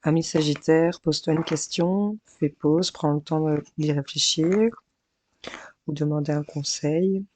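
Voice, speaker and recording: A woman doing a chatty daily tarot reading for each star sign, hoarse from losing her voice, hesitant and addressing viewers as friends, with her mic set a bit farther away. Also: deep voice, fairly even intonation, unhurried, darkish tone. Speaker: tarot card reader. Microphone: mic placed farther away.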